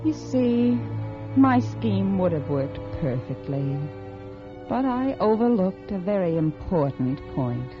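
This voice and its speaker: A woman's voice: mid-range voice, very animated, slow. An actress playing a coldly calculating murderous wife who confesses and narrates her crime in a vintage radio drama. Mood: smug, rueful. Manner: composed, calculating. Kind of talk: 1940s-1950s American radio suspense acting. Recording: muffled old radio recording with music.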